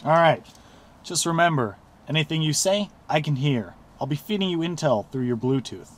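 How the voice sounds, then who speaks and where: A man's voice: fairly high pitch, lively intonation, moderate pace. A young man playing a cocky, bumbling undercover agent in an amateur comedy sketch.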